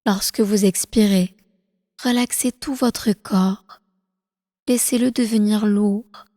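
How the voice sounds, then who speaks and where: Mellow-voiced woman guiding meditation, long reverb. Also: mid-range voice, clean studio sound. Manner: calm, soothing, slow deliberate phrasing. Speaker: guided meditation instructor. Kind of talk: guided prenatal meditation, yoga nidra.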